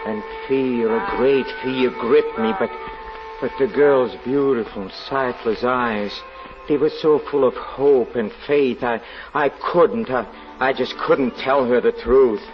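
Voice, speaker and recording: An actor playing an anguished, haunted fortune teller in an old radio drama, halting and brooding as he confesses. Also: medium pitch, lightly acted. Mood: fearful, hesitant. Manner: halting, brooding, repeats words with dramatic hesitation. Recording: dull vintage radio recording, music underneath.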